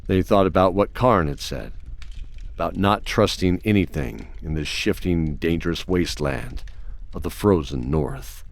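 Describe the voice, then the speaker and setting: A man serving as narrator, reading scripted fantasy storytelling in a measured manner. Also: deep voice.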